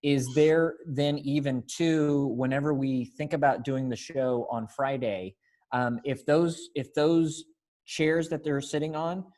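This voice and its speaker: A man's voice: on the high side, fairly even intonation, a little slow, a little mellow. Encouraging man directing over video call. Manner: encouraging, full of praise. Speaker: director and narrator of a readers theater rehearsal. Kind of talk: casual group coaching on a video call. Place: indoor room on a video call. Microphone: headset earphones on a video call.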